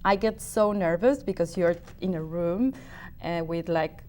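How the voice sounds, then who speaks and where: Uruguayan woman, soft-pitched and hesitant. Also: unhurried. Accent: Uruguayan Spanish. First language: Spanish. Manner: hesitant, many restarts and fillers. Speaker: opera singer, guest. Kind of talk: casual interview answers.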